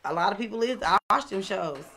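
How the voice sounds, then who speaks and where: A woman's voice: medium pitch, animated, quick pace, creaky, over a noisy crowd. A woman in a casual podcast debate, outspoken and argumentative, swearing freely as she makes her point. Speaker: podcast co-host. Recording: loud overlapping voices behind.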